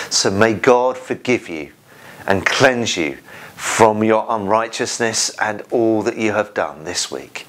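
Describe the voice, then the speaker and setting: Slow, low-voiced minister leading worship. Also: male voice, fairly bright tone. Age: middle-aged. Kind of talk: church service, prayer and scripture reading. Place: small home conservatory.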